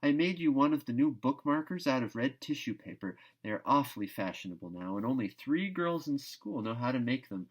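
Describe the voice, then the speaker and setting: A man's voice: medium pitch, lively intonation, a little fast, few pauses, voice pushed up, natural voice, low-quality recording. A loyal, affectionate storybook schoolgirl, as a man narrator reads her. She speaks in an emphatic, pleading way to her dearest friend. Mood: fond pleading.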